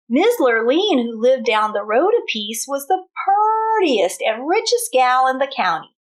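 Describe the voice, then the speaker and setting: High-pitched, animated woman reading to children. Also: poor audio. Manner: explanatory, pauses to question the listeners. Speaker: kindergarten teacher reading aloud. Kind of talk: storybook read-aloud for young children.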